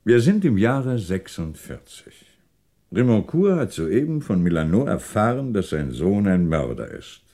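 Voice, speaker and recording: Police commissioner, low pitch, very varied intonation, composed, wryly ironic, deliberate, German radio crime drama. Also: male voice, big pitch swings, warm tone, full character voice. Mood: calm, expository. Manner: deliberate, dryly ironic, probing.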